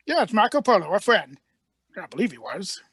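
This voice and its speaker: A woman playing an eccentric, evasive doctor in a radio play reading, speaking in a halting, musing way. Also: medium pitch, big pitch swings, husky, no echo, clean studio sound, heavily acted. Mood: vague, musing. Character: whimsical, evasive, eccentric time-traveling doctor.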